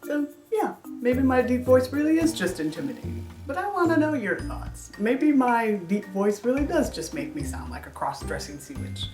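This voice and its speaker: A young woman with a deep contralto voice, talking casually and dryly to camera in a self-mocking vlog. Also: very animated, moderate pace, hardly any pauses, a little mellow. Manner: dry, self-deprecating humor. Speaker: YouTuber. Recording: echoey room, mic at a distance, music behind.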